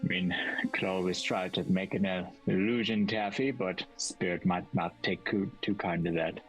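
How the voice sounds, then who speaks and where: A man's voice: sits low, moderate pace, fairly bright tone, poor audio. Cautious man playing a fantasy tabletop game. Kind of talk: in-character tabletop game talk. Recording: music behind the voice. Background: music.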